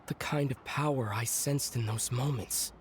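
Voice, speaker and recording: A man playing an earnest young traveler hero in a video game, reflective and measured. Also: medium pitch, a little hiss or hum, natural voice. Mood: pensive. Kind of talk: video game character dialogue.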